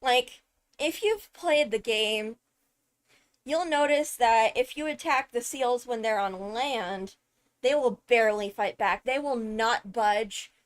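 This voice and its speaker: A woman streamer giving casual, emphatic analysis of a game video, halting as she thinks through points. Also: on the high side. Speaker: streamer, host.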